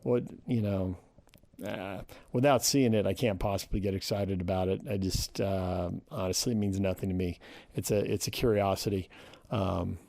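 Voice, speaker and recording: Low-voiced American sports radio host, man. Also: fairly even intonation, normal speed, vocal fry, darkish tone, no echo. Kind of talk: casual sports talk radio commentary.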